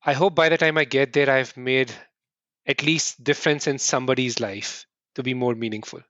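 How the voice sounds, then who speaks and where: A middle-aged man from India, answering interview questions earnestly in quick bursts and often restarting with "right?". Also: mid-range voice, steady tone, a bit bright, no echo, dull audio. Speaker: podcast guest.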